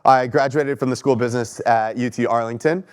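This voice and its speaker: A man's voice: medium pitch, fairly even intonation, brisk, clear, resonant tone. A man in his thirties from Texas with a Texan accent, sounding stuffy from allergies, giving a conversational, self-deprecating conference talk from a stage. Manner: conversational, self-deprecating, asks audience questions. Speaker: keynote speaker. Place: stage in a lecture hall.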